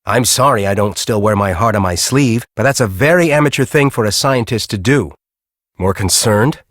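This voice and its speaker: A man's voice: on the low side, normal speed, fairly bright tone, no room sound, clean studio sound, lightly acted. An actor playing a bitter, arrogant middle-aged male scientist in a video game, with a sardonic, condescending, theatrical way of talking. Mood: irritated, defensive. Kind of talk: video game character acting.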